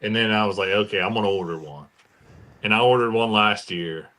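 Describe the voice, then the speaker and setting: A man's voice: lowish voice, fairly quick, pushed and bright. An American man talking casually and informally as a podcast co-host. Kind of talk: casual chat.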